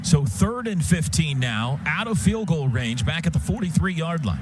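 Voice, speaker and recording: A man's voice: fairly high pitch, very animated, moderate pace, noisy background. An American man doing live radio play-by-play of a football game in short, clipped, descriptive phrases. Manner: clipped, descriptive call of the action. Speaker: play-by-play announcer. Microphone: broadcast booth microphone. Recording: loud stadium sound behind radio broadcast.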